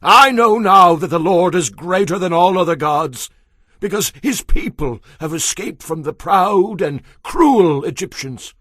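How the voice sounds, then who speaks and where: Older male priest for audio Bible drama: earnest, declamatory, highish pitch, very animated. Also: measured pace, no room sound, studio quality, exaggerated voice. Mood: joyful praise. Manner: declamatory, exhorting. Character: earnest, emphatic old male priest and father-in-law.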